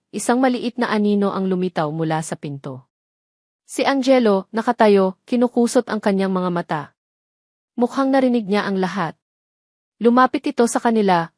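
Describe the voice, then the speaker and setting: A woman's voice: mid-range voice, a little mellow, no room sound, studio quality. A woman with a Filipino accent reads a scripted romance story as a narrator, in a sentimental storytelling way.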